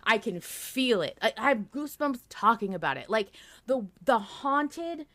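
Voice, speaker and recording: A woman hosting a casual fan podcast, talking in an excitable, emphatic way and swearing freely. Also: fairly high pitch, a little slow. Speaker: podcast host.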